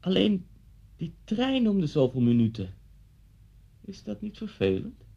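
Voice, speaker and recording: A man's voice: sits high, wildly swooping pitch, unhurried, vocal fry, mellow, husky, heavily acted. An actor in a Dutch radio play, playing a talkative, coolly unsettling policeman who speaks politely and insinuatingly. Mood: chatty, needling. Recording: dull, muffled old radio recording.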